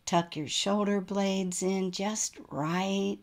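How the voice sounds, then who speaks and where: A woman guiding a relaxation meditation as a yoga nidra teacher, in a gentle, soothing manner with unhurried pauses. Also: medium pitch, fairly even intonation.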